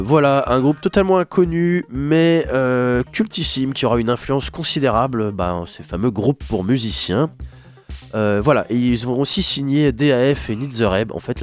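Congested hoarse French radio host. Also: male voice, mid-range voice. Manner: animated, rambling with many fillers. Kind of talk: casual radio chat presenting music. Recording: radio studio with faint music underneath. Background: faint instrumental music.

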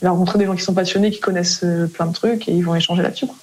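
A woman's voice: on the low side, steady tone, racing, a little mellow, slightly rough. A French woman being interviewed as a parent, explaining casually in colloquial speech that often trails off into tag words.

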